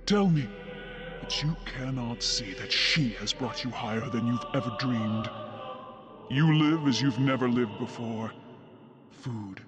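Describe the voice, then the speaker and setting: A man's voice: mid-range voice, unhurried, over music, lightly acted. An actor playing a fanatical cult herald in a fantasy game, preaching devotion to his lady in grand, zealous oratory. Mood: commanding. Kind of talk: dramatic fantasy game voice acting.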